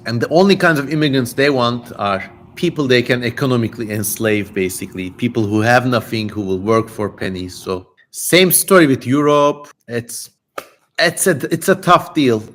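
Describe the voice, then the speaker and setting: A man's voice: medium pitch, normal speed, a bit bright. A man from Turkey with a Turkish accent, hosting a casual livestream in a playfully theatrical way, chatting and reading out viewer comments. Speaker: livestream host and reader.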